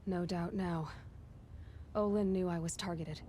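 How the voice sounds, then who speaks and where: A woman's voice: lowish voice, steady tone, unhurried, dark tone, a little hiss or hum, lightly acted. A woman playing a determined, guarded young hunter in a video game, speaking in a terse, matter-of-fact way. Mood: focused, serious.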